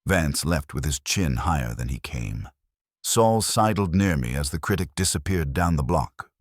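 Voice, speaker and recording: A man's voice: a bass voice, expressive, normal speed, no echo, clean studio sound. A man reading a first-person detective story as a scripted narration, with a wry, hardboiled delivery. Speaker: audiobook narrator.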